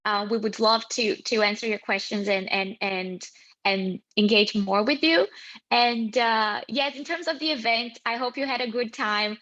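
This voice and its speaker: A cheerful woman hosts an online event over a video call, chatting freely with plenty of fillers. Her voice sits a bit high and rings bright. The call audio is muffled. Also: moderate pace. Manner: upbeat, chatty, many fillers. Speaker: webinar moderator. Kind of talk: casual online event hosting.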